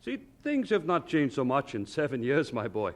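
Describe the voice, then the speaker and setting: Animated, fatherly German doctor. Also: mid-range voice, moderate pace. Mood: jovial, welcoming. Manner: fatherly, jovial, stilted foreign phrasing. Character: kindly, fussing older German doctor, fatherly and jovial. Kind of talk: audio drama acting.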